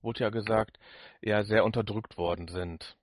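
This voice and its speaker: A man's voice: lowish voice, fairly even intonation, moderate pace, no room sound, clean studio sound. A man co-hosting a podcast, commenting casually and often repeating and rephrasing his points. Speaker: podcast co-host.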